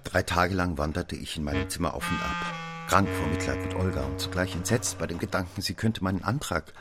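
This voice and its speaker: A man's voice: low voice, a little fast, loud music behind the voice, close to an everyday voice. An actor with speech playing an impulsive, amorous man who narrates his own love affair in a literary radio play. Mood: reflective narration.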